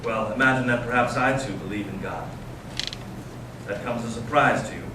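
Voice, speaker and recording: A man playing a bitter, intense young intellectual brother, reading deliberately and earnestly in a staged reading. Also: medium pitch, close to an everyday voice. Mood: challenging. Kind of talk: staged readers theater reading. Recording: echoey room, distant mic, noisy amateur recording.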